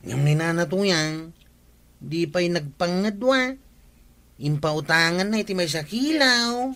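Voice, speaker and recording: A gossipy villager speaks with an Ilocano accent in a bright, slightly high voice. He chats like a radio comedy character. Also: expressive, takes it slowly, exaggerated voice.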